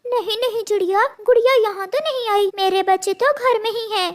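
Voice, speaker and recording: A woman's voice: very high-pitched, fairly quick, very noisy. A woman voicing a chatty female parrot, a neighbour mother in a children's cartoon story. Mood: concerned.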